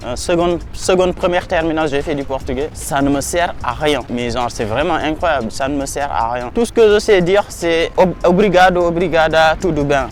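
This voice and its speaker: A man's voice: on the high side, a little hiss or hum. A young man chatting casually in a vlog, slangy and rambling, talking to his viewers as buddies while filming himself outdoors on a handheld camera. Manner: slangy, rambling, talks to viewers as "les gars". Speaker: vlogger advising students. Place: outdoors by a waterfront landmark at sunset.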